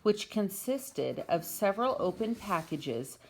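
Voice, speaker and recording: A woman's voice: mid-range voice, big pitch swings, a little slow, a little mellow, a little hiss or hum, low-quality recording. A woman reading a children's story aloud expressively, as a parent does for the family at home.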